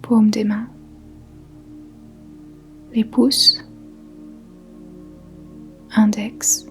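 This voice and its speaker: A woman guiding a yoga nidra meditation in a soft, breathy, whispery voice, calmly and in measured short phrases with gentle pauses. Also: medium pitch. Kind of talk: guided meditation, slow soothing instruction. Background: faint music.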